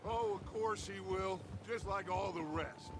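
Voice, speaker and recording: Grandiose American outlaw gang leader, high-pitched man. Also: unhurried, background noise. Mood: proud, defiant. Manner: grandiose, speechifying, rallying. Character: charismatic, commanding male outlaw gang leader in the Old West. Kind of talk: cinematic western game acting. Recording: music under the voice.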